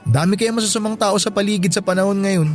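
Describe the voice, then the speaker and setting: Isang lalaking aktor na gumaganap bilang mapagbiro at maalagang binatang matalik na kaibigan sa dramang panradyo. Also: male voice, high-pitched, fast, lightly acted. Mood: playful, teasing. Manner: playful, animated banter. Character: teasing, devoted young male best friend. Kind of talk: Tagalog radio drama dialogue, conversational. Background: music.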